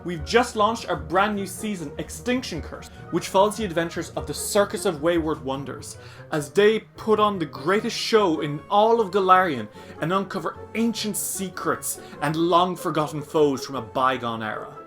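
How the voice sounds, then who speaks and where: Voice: mid-range voice, expressive, moderate pace, a bit bright. An announcer reading a scripted podcast advertisement in a playful, upbeat manner. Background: music.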